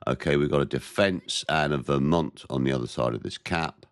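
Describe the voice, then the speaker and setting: A man's voice: rumbling low, no room sound, clean studio sound. Nasal man with a head cold. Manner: measured, plain-spoken play-by-play. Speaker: gameplay commentator. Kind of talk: naval game commentary.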